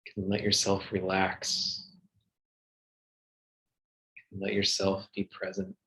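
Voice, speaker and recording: Male meditation guide: low, very unhurried, gentle delivery with soothing pauses. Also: monotone, poor audio. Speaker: meditation teacher.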